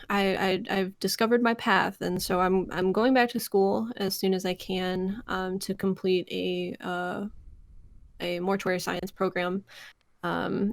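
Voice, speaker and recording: An American woman with some vocal fry, talking casually as an interview guest and telling stories by acting out conversations. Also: medium pitch, dry recording. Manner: animated storyteller, re-enacts conversations. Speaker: podcast guest, voice actress. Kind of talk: casual interview chat, anecdotes.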